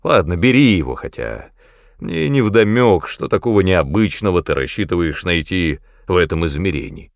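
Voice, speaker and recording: A man voicing a vain, explosive male demon mentor in an audiobook, with a gruff, barking, indignant manner. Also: deep voice, animated, normal speed, dry recording, clean studio sound. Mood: grudging.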